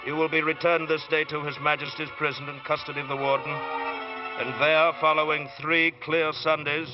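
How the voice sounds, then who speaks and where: An actor playing a stern, solemn judge, speaking formally and with measure in an old radio drama. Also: male voice, a little high, level tone, moderate pace, natural voice. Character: stern, formal male judge pronouncing sentence. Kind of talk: 1940s radio drama acting. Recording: dull old radio recording, loud music.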